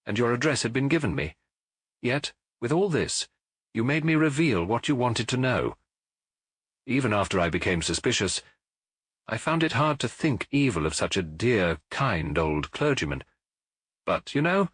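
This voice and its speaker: Man reading as composed, clever woman, husky. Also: lowish voice, a bit bright, no echo. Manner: composed, formal. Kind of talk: audiobook letter reading.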